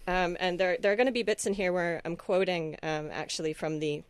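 A woman's voice: on the low side, fairly even intonation, brisk, clear, resonant tone, no echo. A woman on a podcast panel, chatting casually in a self-deprecating, hesitant way.

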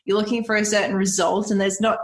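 A woman's voice: medium pitch, flat delivery, quick pace. A woman hosting a cooking podcast, chatty and bubbly, laughing often between points. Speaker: podcast host. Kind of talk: casual podcast chat.

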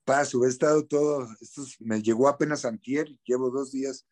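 A man's voice: mid-range voice, steady tone, fairly quick, darkish tone. A middle-aged Mexican actor in a casual interview, speaking candidly with slang and repeating words as he searches for what to say.

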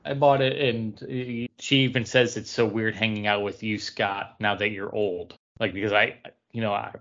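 A man's voice: on the low side, fairly even intonation, normal speed, fairly bright tone, lo-fi sound. A man co-hosting a podcast, chatting casually about comic books. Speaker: podcast co-host.

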